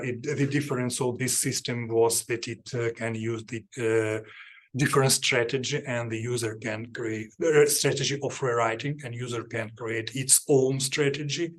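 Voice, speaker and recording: A man giving an academic seminar talk, halting with many fillers and restarts. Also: medium pitch. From Ukraine. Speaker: seminar speaker.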